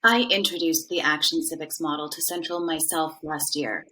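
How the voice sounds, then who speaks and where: Bright-voiced earnest woman teacher, played by an actress. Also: deep voice, fairly even intonation, normal speed, low-quality recording. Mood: earnest, proud. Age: middle-aged. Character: earnest, proud high school social studies department chair defending her program. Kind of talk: dramatized monologue.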